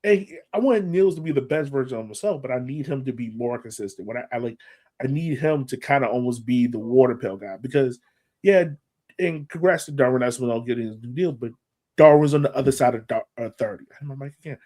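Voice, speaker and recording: A man's voice: medium pitch, quick pace, dark tone. A man co-hosts a casual sports podcast. He speaks in a medium register with an emphatic fan's delivery. He often restates a phrase to make his point. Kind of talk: casual sports fan chat.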